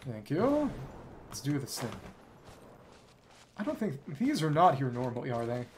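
A woman's voice: a bass voice, very animated, a little slow, noisy background. A woman streamer giving live gameplay commentary, musing aloud and reading out game dialogue as she plays. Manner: musing aloud, switching between reading and reacting. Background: game music.

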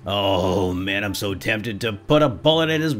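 A man hosting gameplay with excitable role-play commentary, playful and given to exclamations and quick bursts of repeated words. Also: fairly low pitch, soft background music. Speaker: gaming video host.

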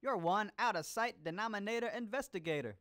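起伏の激しいディスコ料理番組の司会者. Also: male voice, high-pitched, animated, brisk. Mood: showy, upbeat. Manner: slangy, theatrical disco jive. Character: groovy, flamboyant male disco cooking show host. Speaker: game host. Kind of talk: cartoon educational game character voice. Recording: voice over music.

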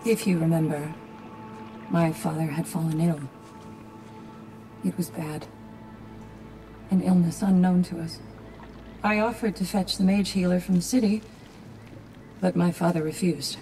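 A reflective, solemn woman guide performed by a woman for a video game. Her voice is a little low and mellow, and she tells her story calmly and with measure. Light music sits behind. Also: natural voice, rough recording. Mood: solemn reflection. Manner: calm, measured, confiding. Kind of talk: dramatic video game acting.

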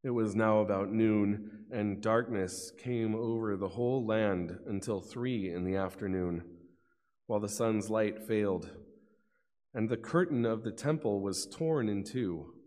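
A man's voice: lowish voice, a little mellow. A middle-aged man giving a formal, solemn narration of scripture at a church lectern. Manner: formal, deliberate phrasing. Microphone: gooseneck lectern microphone. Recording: long church reverb.

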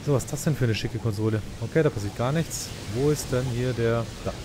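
Male let's player, mid-range voice, casual sarcastic muttering over clear game noise. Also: mid-range voice, fast. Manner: sarcastic, grumbling asides. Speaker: let's play host. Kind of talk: casual gameplay commentary, muttering to himself.

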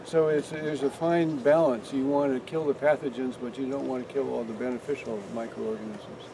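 A man's voice: medium pitch, fairly even intonation, brisk, gravelly, noisy background. An older man giving a casual walking tour of his farm outdoors, talking to a group with many restarts and fillers. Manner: rambling, frequent restarts and fillers. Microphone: camera among a standing group.

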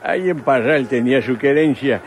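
A man's voice: mid-range voice, normal speed, natural voice. An actor playing a folksy, lusty old man from Chihuahua in a radio drama, with a northern Mexican accent and hesitant, chuckling, repetitive speech. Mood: flirtatious, folksy. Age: older. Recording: loud background music.

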